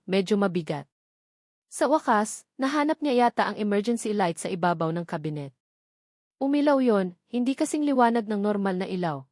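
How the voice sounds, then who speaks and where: A woman narrates a first-person romantic drama from a script, dramatically, in short halting phrases. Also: mid-range voice, a little mellow, no room sound, studio quality. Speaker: story narrator.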